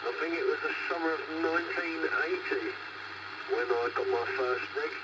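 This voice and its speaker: A man's voice: fairly high pitch, normal speed. A middle-aged British man chatting casually and in a friendly way over a CB radio, often repeating his phrases. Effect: CB radio transmission. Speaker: CB radio caller. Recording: dull, very noisy, distant CB reception.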